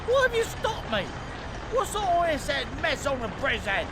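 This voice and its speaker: An actor playing a flustered, indignant Victorian surgeon in a radio drama, proper and full of blustering exclamations. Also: male voice, very high voice, huge pitch swings, moderate pace, music in the background, heavily acted. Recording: clear street noise with horse and vehicle.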